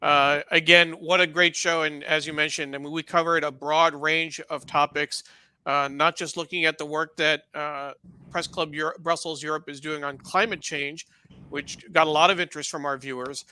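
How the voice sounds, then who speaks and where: Bright, forceful higher-voiced male co-host. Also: level tone, moderate pace, clear, resonant tone. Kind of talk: casual live show hosting.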